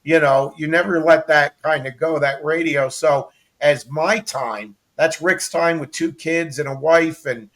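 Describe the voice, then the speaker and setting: A man's voice: a little high, steady tone, a little slow, few pauses, fairly bright tone. A middle-aged American man hosting a casual interview, conversational and affirming, often repeating his phrases. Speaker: interview host.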